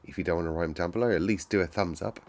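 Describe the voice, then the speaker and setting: A man with a lowish voice hosts a children's read-aloud. He is expressive and informal, speaking straight to the listener as a storyteller. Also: rapid-fire. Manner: animated, informal, addresses listeners directly. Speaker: storytime reader and host.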